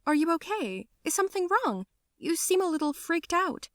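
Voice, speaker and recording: A woman's voice: very high voice, sing-song, normal speed, fairly bright tone, no room sound, studio quality, voice pushed up, heavily acted. A woman voicing a sweet but patronizing young woman friend. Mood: concerned.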